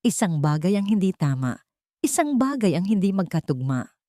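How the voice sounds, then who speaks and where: A woman's voice: fairly low pitch, sing-song, moderate pace, warm tone, no echo, clean studio sound. Woman voicing a desperate, pleading waitress. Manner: pleading, deferential. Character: desperate, pleading young waitress, respectful and wronged.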